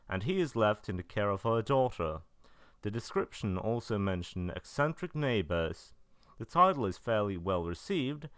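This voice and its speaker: A man's voice: lowish voice, a bit bright, smooth, dry recording, clean studio sound. A man narrating an informal scripted review, matter-of-fact with casual asides. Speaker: video narrator reviewing releases.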